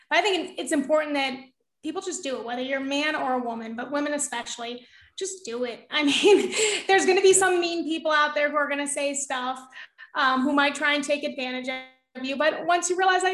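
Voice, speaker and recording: A young woman with a high, bright-sounding voice talks in a bubbly, encouraging way, breaking into laughs as she chats. She is a guest on a podcast, and her voice rings out in a noticeably echoey room. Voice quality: high-pitched voice. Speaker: podcast guest, real estate investor. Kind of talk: casual interview conversation.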